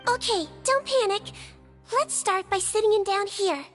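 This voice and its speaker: A woman's voice: extremely high pitch, expressive, a little slow, a bit bright, long reverb tail, soft background music, full character voice. A woman voicing a small, kindly, unflappable nonhuman head nurse in a video game, cutesy and reassuring. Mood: calm, reassuring. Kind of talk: English video game voice acting.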